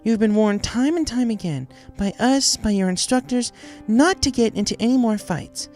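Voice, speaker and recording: A man's voice: extremely high pitch, animated, moderate pace, a little mellow, soft background music, voice pushed up. A man voicing a disappointed pegasus mother who lectures her child sternly in a story reading. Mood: stern disappointment. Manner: scolding, lecturing.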